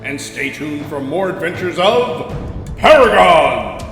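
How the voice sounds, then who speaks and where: A man's voice: fairly high pitch, very animated, slow, fairly bright tone. Reverberant, dramatic man narrating pulp adventure. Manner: theatrical, emphatic. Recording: reverberant voice over music.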